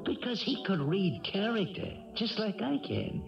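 A streetwise American ex-con burglar, gravelly and sly. His pitch swings widely as he needles and bullies in tough slang. It is old radio drama with a muffled sound. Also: sing-song, measured pace, full character voice. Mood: menacing. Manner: slangy, needling, mock-friendly tough talk. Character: sly, bullying male cat burglar, streetwise ex-convict. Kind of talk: 1970s radio drama acting. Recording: band-limited old radio broadcast, music behind.

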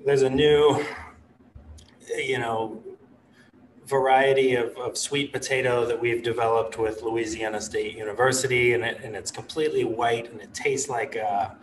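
A man in his thirties, an executive chef, speaking in a relaxed, rambling way on a video call from his living room. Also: medium pitch, moderate pace, recorded from a distance, slight background noise, low-quality recording. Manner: relaxed, rambling, many "you know" and "like" fillers. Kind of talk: casual interview over video call. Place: living room with a stone fireplace.

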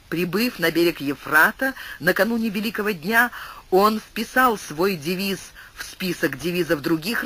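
A man's voice: high voice, sharp tone. A man narrating a classic tale as an audiobook, reading with dramatic storytelling and wide swings in emphasis. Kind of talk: expressive literary narration.

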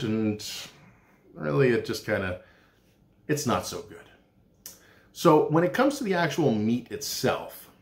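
A middle-aged man, a chef giving an informal, folksy cooking demonstration and explaining as he goes. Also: lowish voice. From Canada. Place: small indoor room with hard walls. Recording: echoey room.

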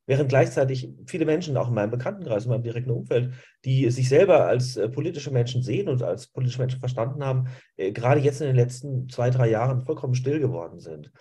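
A middle-aged man talks earnestly on a video call, filling his pauses with ums and ahs. His voice is husky and mellow, at an ordinary male pitch, picked up by a home webcam mic. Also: lively intonation. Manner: earnest, with frequent fillers. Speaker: activist guest. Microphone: laptop or webcam mic on a video call.

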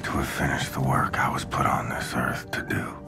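An actor playing a gravely wounded, weary soldier in a breathy, whispered inner monologue for a video game. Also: male voice, very deep voice, completely flat delivery, normal speed. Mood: resigned, solemn.

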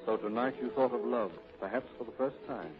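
An actor playing an excitable, courtly businessman in a 1930s radio drama, theatrical and volatile. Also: medium pitch, brisk, lightly acted. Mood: tender, wooing. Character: suave, excitable businessman, exasperated then tenderly romantic. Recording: muffled vintage radio recording, music behind.

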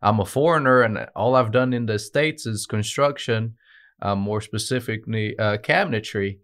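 A man in his thirties from Brazil with a Brazilian Portuguese accent, a guest telling his story in a studio interview with many "uh" pauses. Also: on the low side, fairly bright tone. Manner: earnest, with frequent "uh" and "um" pauses. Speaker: guest, dispatch manager. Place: podcast studio with branded backdrop. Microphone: desk podcast mic on a stand.

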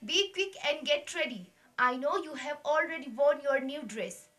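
A woman's voice: high voice, expressive, moderate pace, a loud crowd. A girl playing a kind village mother. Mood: instructing. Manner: warm, instructing her family. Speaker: student actor.

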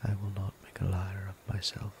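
A man playing a gentle, courteous vampire priest in an ASMR roleplay, speaking softly and breathily in a formal, soothing way. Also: deep voice, monotone, a little hiss or hum. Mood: earnest, hesitant. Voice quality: soft, breathy near-whisper. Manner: slow, deliberate, formal, soothing. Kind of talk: intimate ASMR roleplay acting.

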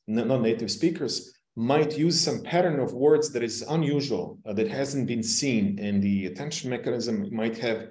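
Mellow, low-voiced non-native English-speaking man, echoey. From non-English-speaking country, long resident in Canada. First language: not English. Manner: hesitant, halting, searching for words. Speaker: webinar presenter, technical expert. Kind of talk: informal technical explanation in a webinar Q&A. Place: indoors, on a video call. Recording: roomy, echoing webcam call.

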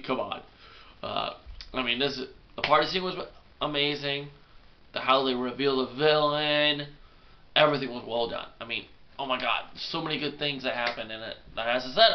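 An excitable young man with a twang talks through a casual film review, rambling and self-deprecating, his voice a bit stuffy from a cold. He sits in a small bedroom, picked up by a camera mic that sounds slightly dull and lo-fi. His pitch sits in a middle range. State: congested with a cold. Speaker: movie review vlogger.

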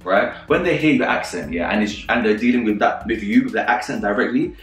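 A man in his thirties talks straight to the camera in a casual advice vlog, animated and fond of repeating his points. He sits a little back from the mic in a small echoey room, with faint music underneath. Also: fairly low pitch, brisk, far from the mic. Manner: animated, gestures a lot, repeats phrases for emphasis. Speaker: property channel host.

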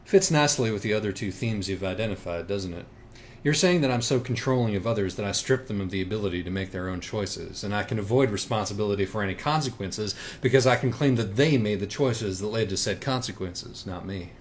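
Man acting a wordy, sardonic, anguished writer, low-voiced. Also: a little fast, slight background noise, natural voice. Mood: resigned, analytical. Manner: wordy, sardonic, circling self-questioning. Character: anguished, evasive, self-absorbed young male writer character. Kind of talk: audiobook dialogue acting.

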